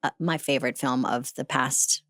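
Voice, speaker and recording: A woman in her fifties hosting a casual interview, teasing her guest with quick, playful questions. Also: extremely low pitch, fairly even intonation, a bit bright. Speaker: podcast host.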